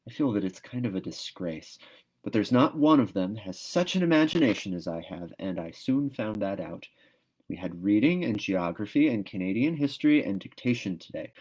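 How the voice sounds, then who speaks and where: A man's voice: fairly low pitch, animated, normal speed, a little mellow, rough recording. Guy doing a dreamy dramatic little schoolgirl from a storybook, gossipy and earnest, rambles on and on. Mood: chatty, earnest. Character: chatty, dreamy, dramatic eleven-year-old orphan schoolgirl.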